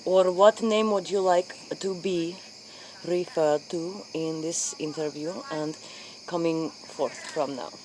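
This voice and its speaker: A woman playing a solemn late-night interviewer, speaking formally and haltingly, often repeating herself. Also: lowish voice, fairly even intonation, a little mellow, a little hiss or hum, rough recording. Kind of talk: improvised spoof interview in character.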